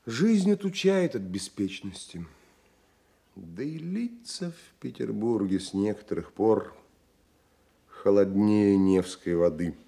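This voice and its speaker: A man's voice: fairly low pitch, lively intonation, slow pace, creaky, warm tone, exaggerated voice. An actor playing a proud, world-weary male painter with a brooding manner, in a Soviet radio drama. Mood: bitter.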